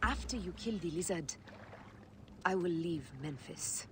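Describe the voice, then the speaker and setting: A solemn, resolute Egyptian wife. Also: female voice, fairly low pitch, expressive, unhurried, slight background noise. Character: resolute, grieving Egyptian wife and mother serving her queen. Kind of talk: dramatic video game acting.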